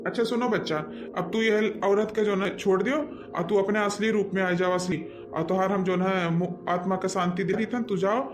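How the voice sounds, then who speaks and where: Man playing a high-voiced village exorcist, Awadhi accent. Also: fairly even intonation, normal speed, natural voice. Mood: commanding, reassuring. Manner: instructing, reassuring. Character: male village exorcist holy man, calm and authoritative. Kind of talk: amateur Hindi cartoon dialogue.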